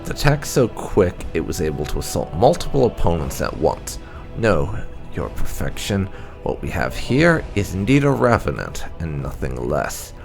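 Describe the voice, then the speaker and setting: A man reading game lore entries aloud as scripted narration, in a formal, measured, deliberate way. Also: deep voice, big pitch swings, vocal fry, soft background music. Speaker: gaming channel host.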